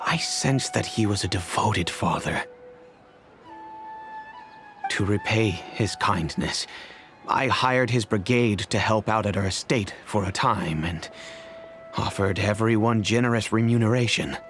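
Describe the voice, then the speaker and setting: A grave, low-voiced old patriarch. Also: male voice, fairly bright tone, soft background music. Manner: measured, solemn storytelling. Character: grave older wealthy family patriarch. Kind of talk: video game voice acting.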